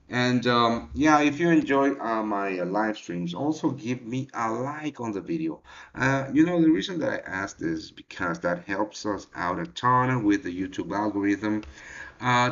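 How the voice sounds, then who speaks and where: A man hosting a trading livestream in a relaxed, halting way, with many fillers as he answers the chat. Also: lowish voice. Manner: reads and answers chat directly. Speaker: trading show host. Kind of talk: casual livestream market talk. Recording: dull lo-fi livestream audio.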